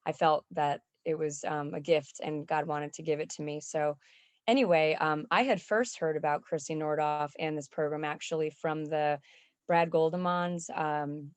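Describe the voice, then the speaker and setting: A woman's voice: sits low, steady tone, moderate pace, clean tone, no echo. A woman giving a personal spoken testimonial in a conversational way.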